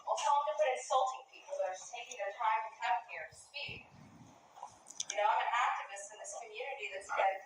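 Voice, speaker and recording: A woman's voice: on the high side, a little fast. A woman activist arguing indignantly at a public meeting. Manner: indignant, argumentative. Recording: echoey meeting room, crowd behind.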